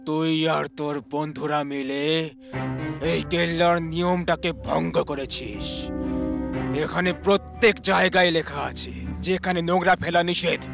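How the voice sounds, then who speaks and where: Man voicing a slow, stern guardian statue spirit. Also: on the high side, fairly even intonation, creaky. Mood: solemn, condemning. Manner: slow, condemning pronouncements. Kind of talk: Bengali horror story dub. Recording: loud music under the voice.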